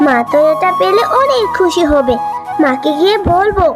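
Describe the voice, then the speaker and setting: A woman's voice: extremely high pitch, lively intonation, normal speed. A woman voicing a sweet, eager young village girl in a cartoon, cheerful and innocent. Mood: eager, pleased. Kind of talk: cartoon child acting. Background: music.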